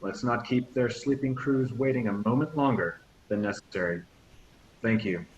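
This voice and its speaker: A warm but commanding captain in his fifties, read by a man addressing a crowd in measured tones. The audio is roomy, dull and lightly hissy. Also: mid-range voice, natural voice. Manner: speechmaking, measured. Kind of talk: table read. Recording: echoey, muffled, hissy audio.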